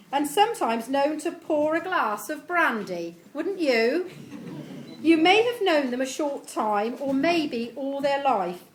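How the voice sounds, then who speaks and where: A middle-aged woman reads a homemade rhyming tribute in a high, very lively voice. She takes her time over each line, addressing guests at a live event. There is clear background noise in the recording. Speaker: family member reading a tribute poem at a party. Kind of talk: reading a homemade rhyming poem aloud to guests.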